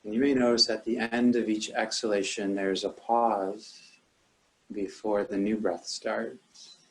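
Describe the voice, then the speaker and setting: Male meditation teacher, lower-pitched voice, calm and measured, leaving long pauses between instructions. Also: rough recording.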